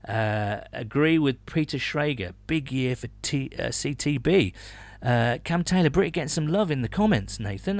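A man's voice: mid-range voice, no room sound. A British man hosting a sports podcast, chatting casually with rambling asides and reading out listener messages. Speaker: podcast host.

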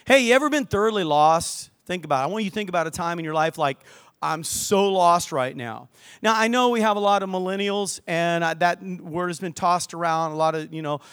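An older man preaching a lively sermon in church, mixing scripture with jokes and stories. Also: on the high side. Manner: lively, joking preacher with asides to the crowd. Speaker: pastor. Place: church hall with balcony.

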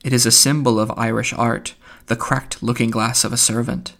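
A man reading the lines of a gloomy, bitter young man in an audiobook, subdued and flat. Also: mid-range voice, normal speed, vocal fry.

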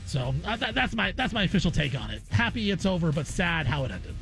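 Guy with a pretty high voice doing casual sports radio talk, big opinions, repeats himself, faint music under. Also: very animated, quick pace, creaky, fairly bright tone. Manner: opinionated, repeats phrases for emphasis. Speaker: sports radio guest host.

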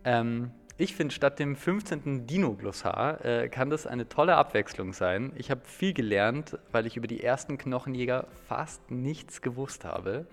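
A man's voice: medium pitch, lively intonation, moderate pace, few pauses, long reverb tail. An Austrian man co-hosting a podcast, talking through a book review in a thoughtful, slightly hesitant conversational way.

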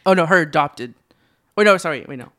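A young man in his twenties from Southern California, talking as a podcast guest. His voice sounds smooth and clear, and he talks in a relaxed way, pausing on ums and laughing often. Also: a little high. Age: 20s. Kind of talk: casual conversational interview.

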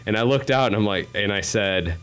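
A man's voice: fairly low pitch, a little fast, a bit bright, clean tone. A man from New England, a podcast guest, telling casual stories with many fillers and restarts. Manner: rambling, self-deprecating, frequent fillers and restarts. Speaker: podcast guest, healthcare digital strategist. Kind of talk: casual interview storytelling.

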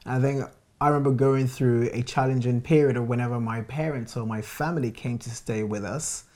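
A man speaks in a measured, reflective way about his own life, pausing to choose his words. His voice sits at an ordinary middle pitch. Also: darkish tone. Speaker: married guest sharing his experience. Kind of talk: reflective personal testimony.

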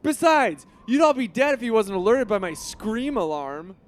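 Guy doing a bratty teen superhero, high voice jumping all over, sarcastic and crude. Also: very animated, normal speed. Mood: scornful. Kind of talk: adult animated parody.

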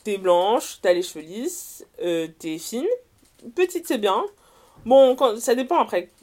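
Young Frenchwoman talking casually, animated and self-correcting. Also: mid-range voice, a little slow. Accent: French, from France. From France. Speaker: interview guest, writer and columnist. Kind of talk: casual conversational testimony.

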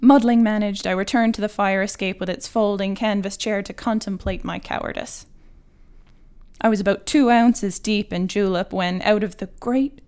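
A woman writer reading her own literary short story in a measured, articulate and wry manner. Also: mid-range voice, fairly even intonation, a little mellow, clean tone.

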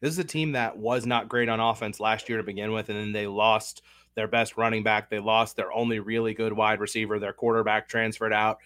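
A man with a head cold co-hosting a casual sports betting podcast, talking through picks in a measured, analytical way. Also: on the low side, flat delivery, a bit bright, clean tone, no echo. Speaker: podcast co-host.

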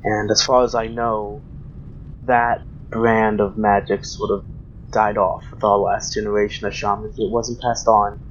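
A man's voice: fairly low pitch, little pitch movement, unhurried, natural voice. Man playing a solemn keeper of old ways. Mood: solemn, guarded. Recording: dull, noisy hobby microphone.

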